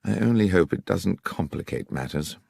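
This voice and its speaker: A man voicing a brilliant Victorian detective in an audiobook, with crisp, patient, authoritative speech. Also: sits low, lively intonation, moderate pace, darkish tone, dry recording, studio quality, heavily acted. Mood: thoughtful. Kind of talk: audiobook character reading.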